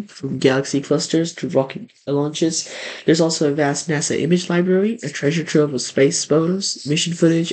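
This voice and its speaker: Male tech channel host for a website walkthrough narration; fairly high voice, noticeable vocal fry. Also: a little mellow, low-quality recording. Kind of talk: scripted screen-tour narration.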